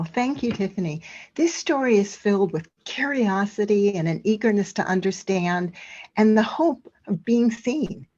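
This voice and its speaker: Measured woman interviewer. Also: mid-range voice, a little mellow. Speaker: interviewer for an education program. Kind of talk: interview questions. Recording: dull lo-fi recording.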